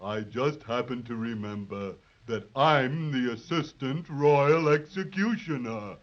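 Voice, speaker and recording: A man's voice: mid-range voice, slow pace, natural voice. A man playing a forgetful, pompous assistant executioner in a 1930s children's radio serial, ceremonious and dithering. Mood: smug. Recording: muffled 1930s radio transcription.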